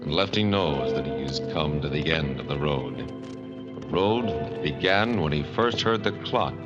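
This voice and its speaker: Brief: very low-voiced male announcer, solemn, over loud music on a dull vintage recording. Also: lively intonation. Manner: solemn, measured. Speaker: announcer and narrator. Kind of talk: scripted radio narration. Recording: dull old radio broadcast recording with music.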